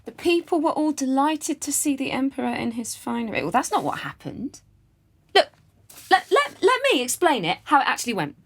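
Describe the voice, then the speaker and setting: A woman's voice: high voice, sing-song, normal speed. A woman playing a cheeky, reluctant storyteller, narrating a satirical unfairytale with wry, informal asides. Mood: wry, explaining.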